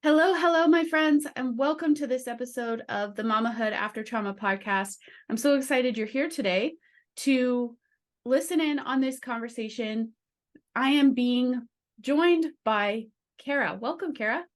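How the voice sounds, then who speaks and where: A woman's voice: sits high, moderate pace. A woman hosting a podcast, upbeat and encouraging as she talks with a guest. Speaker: podcast host. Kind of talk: podcast interview.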